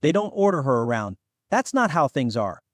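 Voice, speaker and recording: A man's voice: medium pitch, sing-song, moderate pace, dry recording, clean studio sound. A man voicing an earnest, cautious young demigod who protests and takes offence easily, in a fantasy audiobook. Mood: indignant. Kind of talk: fantasy audiobook character acting.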